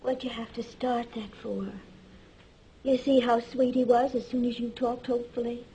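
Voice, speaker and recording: A woman plays a devoted old wife and mother in a family drama. Her voice is fairly high, gentle and anxious, with faint noise behind it. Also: little pitch movement, moderate pace, close to an everyday voice, poor audio. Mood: pleading, hushed. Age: older. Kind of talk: stage-drama acting.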